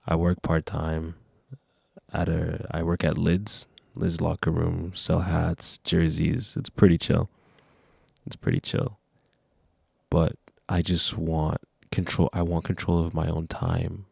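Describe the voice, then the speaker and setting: A man's voice: deep voice, monotone, slow, creaky, darkish tone, husky, dry recording, clean studio sound. A nineteen-year-old man talking casually to camera, giving earnest, rambling motivational advice. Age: young adult. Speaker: vlogger.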